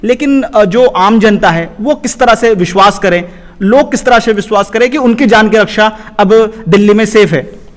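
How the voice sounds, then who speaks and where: A man's voice: high-pitched, normal speed. A man working as a news interviewer, asking questions and agreeing deferentially with short acknowledgements.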